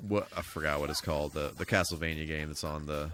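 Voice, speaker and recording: A man's voice: extremely low pitch, flat delivery, quick pace, noisy background. A man live streaming a video game, chatting casually with viewers and throwing in playful exclamations and sing-song asides. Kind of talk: casual live gaming commentary and chat with viewers. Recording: music behind. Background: music.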